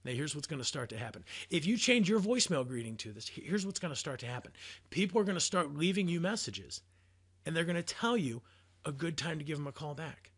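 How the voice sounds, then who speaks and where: Higher-pitched American man coaching sales. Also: sing-song, a bit bright, breathy edge. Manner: emphatic, deliberate phrasing with rhetorical questions. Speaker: sales coach presenting a training video. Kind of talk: motivational instructional talk to camera.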